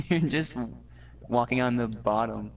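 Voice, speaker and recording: A man's voice: medium pitch, a little slow, vocal fry, distant mic, highs cut off. A man rambling with many filler words in casual chat while gaming. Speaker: gaming co-player.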